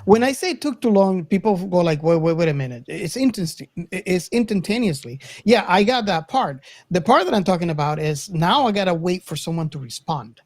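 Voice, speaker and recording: A man's voice: high-pitched, expressive, moderate pace, hardly any pauses, dry recording. A middle-aged man in casual podcast conversation, explaining things conversationally and voicing other people's reactions. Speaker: podcast co-host. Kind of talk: casual chat, reminiscing.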